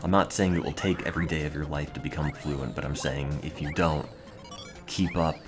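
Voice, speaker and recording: A man's voice: rumbling low, fairly even intonation, normal speed. A young adult man streaming and answering his chat in a dry, rambling, offhand way. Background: music playing.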